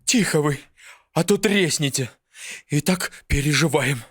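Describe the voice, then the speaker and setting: A woman's voice: low-pitched, animated, a little slow, breathy edge, dry recording, studio quality, full character voice. A woman voicing a self-important pot-bellied male teapot, pompous and commanding, in a bedtime fairy tale. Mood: grumbling. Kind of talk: bedtime puppet-theatre fairy tale acting.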